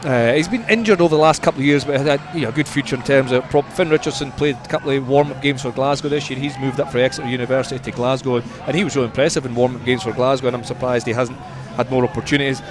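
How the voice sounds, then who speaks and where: A man giving conversational sports punditry as a radio guest, measured and repeating words for emphasis. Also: mid-range voice, steady tone, over music.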